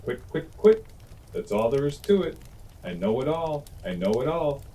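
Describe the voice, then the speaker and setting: A man's voice: medium pitch, big pitch swings, moderate pace, warm tone, recorded from a distance, slight background noise, pitched above the performer's own voice, natural voice. A man voicing a boastful female thrush in a storybook reading, chirping in a hurry. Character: bossy know-it-all female thrush.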